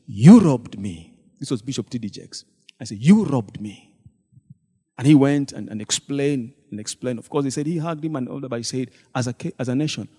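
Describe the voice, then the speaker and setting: A man's voice: mid-range voice, warm tone, breathy edge. A man, a deacon telling the congregation a story about a church meeting and acting out the people he quotes. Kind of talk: church testimony retelling a meeting. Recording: long hall reverb.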